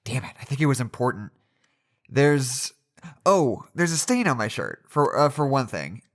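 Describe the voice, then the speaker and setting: A woman's voice: rumbling low, big pitch swings, moderate pace. A woman playing a scatterbrained, blunt site medic in a naturalistic audio drama, speaking with some hesitation. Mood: distracted.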